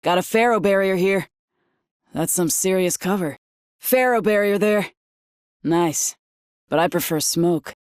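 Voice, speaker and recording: A woman's voice: on the low side, unhurried, long pauses, dry recording, clean studio sound, close to an everyday voice. A woman playing a cool, professional fighter in a video game, giving controlled callouts. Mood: cool approval.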